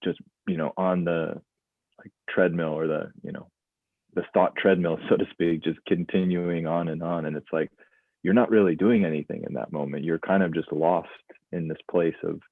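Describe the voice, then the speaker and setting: A man's voice: sits low, no room sound. A man in his late twenties, a guest, reflecting thoughtfully in loose conversational talk with many restarts and fillers. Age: 20s. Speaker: guest, mindfulness instructor. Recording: tinny phone-like line, dry.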